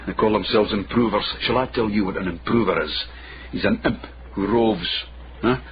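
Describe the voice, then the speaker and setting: An actor playing a shrewd, low-born middle-aged Scottish investigator in a radio drama, dry, teasing and guarded. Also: male voice, normal speed, lightly acted. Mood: sardonic. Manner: dry, sardonic, laconic. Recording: dull, noisy radio drama recording.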